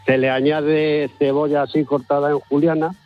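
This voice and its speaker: A man's voice: fairly high pitch, level tone, normal speed, muffled recording. A man talks as a radio caller. He is polite and a little hesitant as he explains things casually, with faint music underneath. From La Mancha, Spain.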